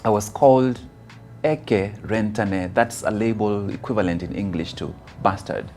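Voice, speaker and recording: A man with a Kenyan accent giving a motivational personal testimony, phrasing deliberately and dramatically with emphatic stresses. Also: lowish voice, mellow, faint music behind. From rural Kisii, Kenya. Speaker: motivational speaker.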